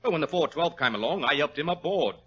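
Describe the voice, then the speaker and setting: An actor playing a testy, talkative and slightly dim country stationmaster in 1940s radio drama. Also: male voice, on the high side, expressive, fast, fairly bright tone, husky. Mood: fussy, talkative. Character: busy, talkative, slow-witted male rural stationmaster. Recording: dull old radio recording.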